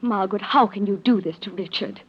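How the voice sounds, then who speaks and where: An actress playing an intense, scheming heiress in old-time radio melodrama, speaking in a theatrical, emphatic way. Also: female voice, medium pitch, brisk, breathy edge, lightly acted. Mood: indignant, urgent. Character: scheming heiress, outwardly pleading and sympathetic, intense. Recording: muffled vintage radio recording.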